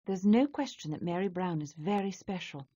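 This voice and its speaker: An actress playing a calm paranormal investigator in a video game, measured and matter-of-fact. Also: female voice, fairly low pitch, slightly rough, muffled recording. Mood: analytical.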